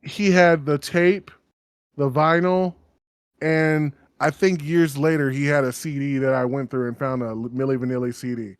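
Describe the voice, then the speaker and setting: A man's voice: fairly high pitch, steady tone, normal speed, clear, resonant tone, no room sound, clean studio sound. A man co-hosting a podcast, rambling through stories with lots of fillers and swearing. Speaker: podcast co-host. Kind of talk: casual chat.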